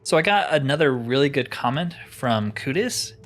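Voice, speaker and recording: A man's voice: mid-range voice, animated, normal speed, fairly bright tone, faint music behind. A man giving casual let's play commentary, chatty and informal as he thinks aloud. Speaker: gaming channel host.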